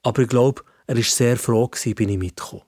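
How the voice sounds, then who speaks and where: A man's voice: medium pitch, steady tone, fast talker. A man with a Swiss German accent narrating a children's story in the first person, in simple, conversational phrasing.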